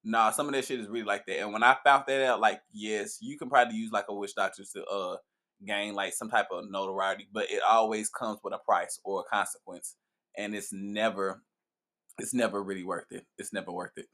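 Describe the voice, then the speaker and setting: Guy with a bright voice, breaking stuff down, emphatic. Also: fairly low pitch, steady tone, a little fast. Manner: emphatic, explains at length. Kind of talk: casual interview chat.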